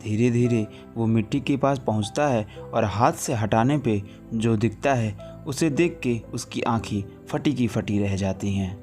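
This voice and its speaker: A man's voice: mid-range voice, a little slow, few pauses, darkish tone, soft background music. A man reading a scripted horror story as its narrator.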